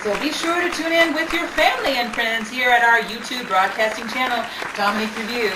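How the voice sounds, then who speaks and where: A woman's voice: on the high side, fairly quick, a crowd in the background. A woman giving an upbeat scripted sign-off as a show announcer. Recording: roomy, distant, noisy audience recording.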